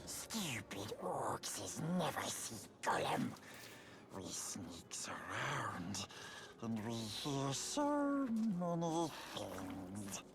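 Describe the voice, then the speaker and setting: A man voicing a wretched, sneaky, fawning little cave creature in a video game, with a raspy, hissing whisper and broken grammar. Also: medium pitch, wildly swooping pitch, very slow, full character voice. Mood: fearful, spiteful. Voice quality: raspy, whispery, creaky throaty voice. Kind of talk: video game cutscene acting.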